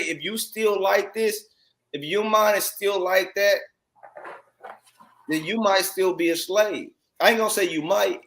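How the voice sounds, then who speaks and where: Male American religious teacher, voice a bit high, exhorting informally, emphatic and repetitive. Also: a bit bright, rough recording. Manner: emphatic, repetitive, with rhetorical tag questions. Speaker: congregation teacher commenting on read text.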